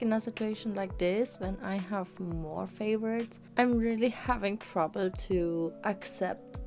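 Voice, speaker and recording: A young woman, slightly hoarse after an illness, rambling enthusiastically as she reviews manga in a casual vlog. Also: mid-range voice, dark tone. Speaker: manga vlogger. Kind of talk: casual book review chat.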